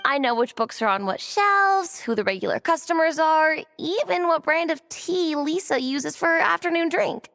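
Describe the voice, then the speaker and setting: Very high-voiced bookish girl. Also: animated, moderate pace, few pauses, fairly bright tone. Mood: proud. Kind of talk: video game voice acting. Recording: faint game music behind.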